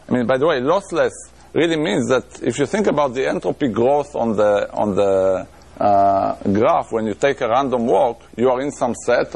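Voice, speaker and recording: A man's voice: medium pitch, gravelly, a little mellow, slightly rough. A middle-aged man with an Israeli accent giving a lecture in a room, thinking aloud with many restarts and fillers. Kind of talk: academic lecture on math.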